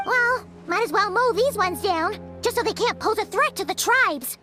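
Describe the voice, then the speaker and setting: A tiny fairy sidekick from a video game, with a very high, childlike voice that swings up and down. She is perky, excitable and protective, and music plays clearly behind her. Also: normal speed. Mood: puzzled, worried. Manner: refers to self in third person, exclamatory. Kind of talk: animated video game voice acting.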